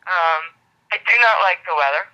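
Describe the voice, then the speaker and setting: New York accent, blunt, brusque, very sharp tense voice, dull phone line. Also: mid-range voice, moderate pace. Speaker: prank call target. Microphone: telephone.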